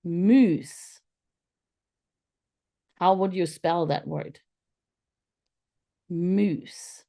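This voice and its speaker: A German-born woman, a teacher trainer, giving an online lecture in an unhurried, thoughtful way with many pauses and fillers. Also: lowish voice, creaky, dark tone. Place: video call.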